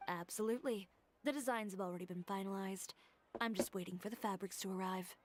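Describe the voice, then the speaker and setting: An actress voicing a poised, businesslike fashion designer in a video game, composed and matter-of-fact. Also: female voice, medium pitch, fairly quick, noisy background, close to an everyday voice. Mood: calm.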